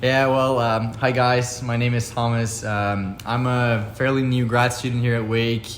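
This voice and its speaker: A man's voice: medium pitch, level tone, a little slow. A young Belgian man in his twenties with a Dutch accent, a guest chatting casually in an interview and often hesitating and repeating words. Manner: hesitant, repeats words and uses many fillers. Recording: echoey room with faint background noise.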